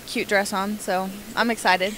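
A woman's voice: mid-range voice, little pitch movement, unhurried, a bit bright, slight background noise. A teenage girl chatting about being nominated, interviewed in a school gym. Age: teens. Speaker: homecoming nominee.

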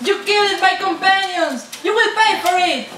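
A woman's voice: very high-pitched, moderate pace. A young woman acting out dramatic characters in an amateur puppet show. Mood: vengeful. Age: young adult. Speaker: student puppeteer. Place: indoor room at a day centre. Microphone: far camera. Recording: noisy echoey room, distant camera mic.

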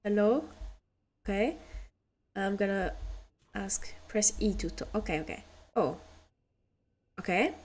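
A woman's voice: mid-range voice, animated, takes it slowly, pauses often, darkish tone, slightly rough. French-accented woman thinking aloud. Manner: hesitant, thinks aloud with self-corrections. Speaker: VTuber streamer. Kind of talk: casual game commentary.

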